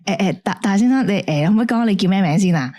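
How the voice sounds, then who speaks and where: A woman's voice: medium pitch, animated, rapid-fire, mellow, natural voice. A woman playing a polite, flustered radio call-taker with a hesitant, placating manner in an audio drama. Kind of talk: Cantonese radio drama acting.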